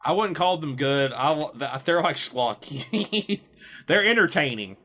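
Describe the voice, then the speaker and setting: A man's voice: on the high side, expressive, a little slow, sharp tone. A man hosting a casual podcast chat, often chuckling as he talks. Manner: chuckles often while talking. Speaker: podcast host.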